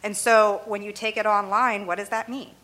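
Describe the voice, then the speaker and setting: A woman's voice: medium pitch, level tone, normal speed, fairly bright tone. A woman whose first language is Arabic, explaining her views in a loose, conversational way with frequent "you know" and "right?" and light laughs. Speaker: interviewee.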